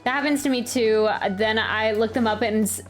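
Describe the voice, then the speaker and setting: A woman with a slightly high voice gives a casual gameplay reaction. She rambles, stammers and thinks aloud, with faint game music behind her. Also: steady tone, moderate pace. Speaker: game streamer.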